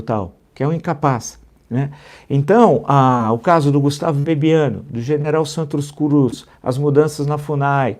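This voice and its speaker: A Brazilian man hosting live political commentary, talking emphatically and repeating key numbers for stress. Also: dark tone. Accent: Brazilian Portuguese. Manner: emphatic, repeats figures for stress, rising pitch when indignant.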